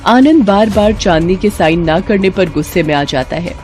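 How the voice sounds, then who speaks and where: A woman's voice: on the low side, expressive, moderate pace, a little mellow. A woman reading a scripted moral bedtime story as narrator, with music in the background.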